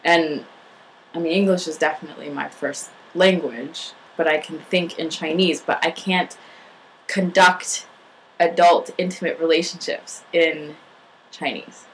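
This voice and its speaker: Woman, fairly low voice, halting, filler-heavy casual chat. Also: fairly even intonation, fairly bright tone, a little hiss or hum, low-quality recording. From United States. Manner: halting, lots of fillers and restarts.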